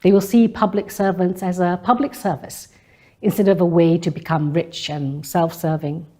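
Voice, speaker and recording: A woman delivering a scripted spoken-word monologue in measured, deliberate phrases. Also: lowish voice, steady tone, mellow. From Malaysia. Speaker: speaker delivering a personal monologue.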